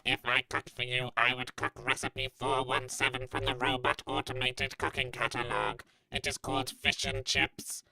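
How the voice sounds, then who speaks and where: An actor playing a cool, hard metal factory robot in a comedy sketch, speaking in a deadpan robotic way. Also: mid-range voice, moderate pace, few pauses, a crowd in the background, close to an everyday voice, rough recording. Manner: flat robotic delivery, deadpan. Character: Maria, cool hard metal factory robot woman, game show suitor. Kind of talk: scripted comedy sketch acting.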